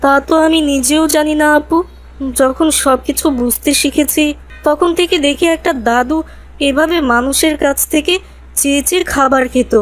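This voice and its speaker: Woman voicing an earnest high-pitched young street boy. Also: moderate pace, lightly acted. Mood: plaintive. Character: earnest, humble homeless street boy of twelve or thirteen. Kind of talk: cartoon voice acting.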